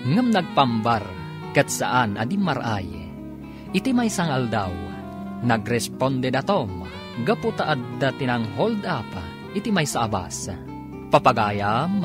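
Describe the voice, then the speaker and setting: A man with an Ilocano accent announcing and narrating a radio drama in a dramatic scripted style. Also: mid-range voice. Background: music.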